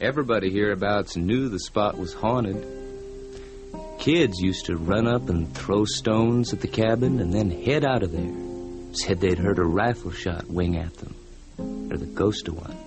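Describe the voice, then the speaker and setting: A man's voice: on the low side, unhurried. An actor playing a folksy, plainspoken American frontier man who reflectively recounts a local haunting in a radio drama. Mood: wistful, reflective. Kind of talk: old-time radio drama narration. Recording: music behind the voice.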